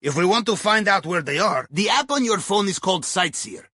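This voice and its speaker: An actor playing a roguish, cheerful Russian submarine veteran and heist planner in a video game, with a theatrical, wry delivery. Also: male voice, high-pitched, lively intonation, fairly quick, pushed and bright, breathy edge, no room sound, studio quality, heavily acted. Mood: focused briefing. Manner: theatrical, wry, addresses listener as captain. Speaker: submarine crewman guiding a heist. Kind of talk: video game character voice lines.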